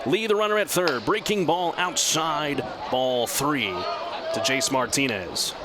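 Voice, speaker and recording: A man working as a radio play-by-play announcer, giving live baseball commentary in a measured, descriptive way that lifts with the action. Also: a little high, animated. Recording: clear outdoor ballpark background noise. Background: outdoor stadium ambience.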